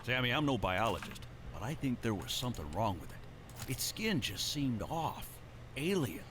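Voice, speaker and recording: A male actor plays an uneasy, superstitious vehicle driver from a video game. His mid-pitched voice swings widely as he talks in a folksy, foreboding way. Faint ambient noise sits behind him. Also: very animated, moderate pace, lightly acted. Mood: earnest, worried. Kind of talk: acted recorded dialogue in a video game.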